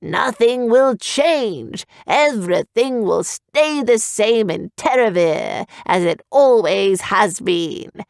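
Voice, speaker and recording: A woman's voice: mid-range voice, expressive, takes it slowly, creaky, dry recording, studio quality. A woman voicing a pompous, self-assured male town orator who blusters at the crowd. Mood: soothing.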